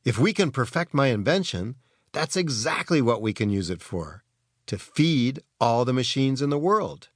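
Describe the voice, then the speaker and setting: A man's voice: mid-range voice, big pitch swings, moderate pace, no room sound, studio quality. A man voicing an earnest boy inventor in a children's storybook, explaining science eagerly and emphatically.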